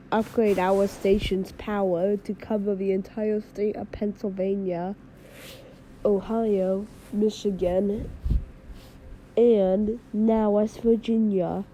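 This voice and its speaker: A woman playing a radio host giving halting, measured emergency updates in a fictional broadcast. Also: mid-range voice, warm tone, slight background noise, lightly acted, rough recording. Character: female radio host relaying werewolf invasion news.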